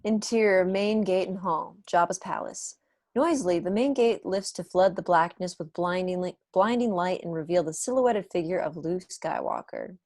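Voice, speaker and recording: A woman's voice: lowish voice, normal speed, darkish tone, no echo. Young woman narrating a script, dry sound. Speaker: narrator reading stage directions. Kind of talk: scripted narration at an online table read. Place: small room at home, indoors. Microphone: wired earphones on a video call.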